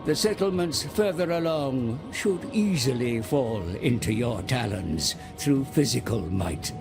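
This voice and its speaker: A scheming advisor to dark lords in a fantasy game. He speaks slowly and portentously with a strong gravelly creak, coaxing and servile. Dramatic music plays clearly behind him. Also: mid-range voice, expressive. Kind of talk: dramatic scripted game cutscene narration.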